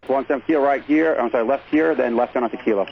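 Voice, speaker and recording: A man's voice: mid-range voice, quick pace, vocal fry, phone-quality sound. A man working as an air traffic controller, giving clipped instructions over aviation radio.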